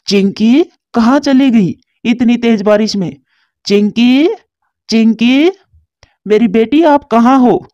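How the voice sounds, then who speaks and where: A woman voices a devoted father pigeon in a children's cartoon. The tone is mellow and dark, tender yet fretful. The recording is dry and somewhat muffled. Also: on the high side, takes it slowly, dry recording. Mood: panicked. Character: doting, anxious father pigeon.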